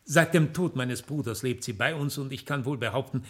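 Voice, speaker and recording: An actor playing a distraught, formal middle-aged gentleman in a German radio play, emphatic and agitated. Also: medium pitch, brisk, husky, natural voice. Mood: polite, weary. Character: distraught, formal older gentleman banker, father and uncle.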